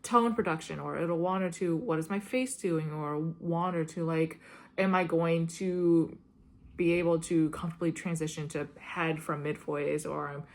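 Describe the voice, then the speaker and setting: A woman's voice: sits low, fairly even intonation, normal speed. Rambling young woman, chatty vlog. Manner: chatty, meandering, frequent "um" and "like", light laughs. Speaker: vlogger and classical singer. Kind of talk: rambling casual chat to camera.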